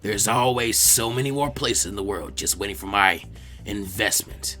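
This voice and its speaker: A man voicing a smug, ruthless crime-boss businessman who speaks in a deliberate sneer, in an anime story reading. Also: fairly low pitch, bright, forceful tone, faint music behind, pitched below the performer's own voice. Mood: smug, gloating.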